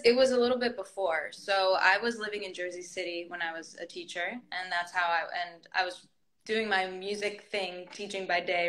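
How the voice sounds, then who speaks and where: Woman, 30s, upbeat interview guest, clear bright tone, webcam audio. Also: medium pitch. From United States. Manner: chatty and upbeat, storytelling. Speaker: guest singer. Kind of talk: casual interview chat. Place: indoor room at home. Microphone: video call webcam.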